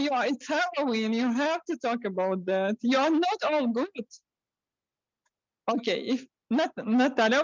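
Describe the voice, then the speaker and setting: A woman hosting an impromptu speaking session, haltingly putting repeated questions to the audience. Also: high voice, no room sound. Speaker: table topics host at a speaking club. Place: club meeting with online participants. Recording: dull, muffled lo-fi sound.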